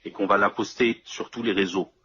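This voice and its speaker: A man's voice: on the low side, fairly even intonation, fairly quick, a bit bright, husky, muffled recording. A man in casual conversation, explaining things step by step and often checking that his listener follows.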